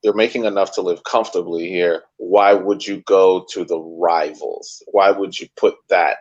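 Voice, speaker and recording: A man's voice: low-pitched, fairly even intonation, normal speed. A man co-hosting a casual sports podcast, hesitant and repeating words as he starts. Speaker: podcast co-host. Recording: dull lo-fi sound.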